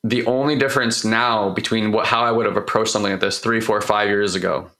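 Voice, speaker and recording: Hoarse guy, low-ish voice but bright and forceful, rambling heartfelt talk. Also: steady tone, brisk. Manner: open, emotional, rambling with restarts. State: hoarse, recovering from losing his voice. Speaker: host of a personal growth talk. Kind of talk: candid heartfelt monologue.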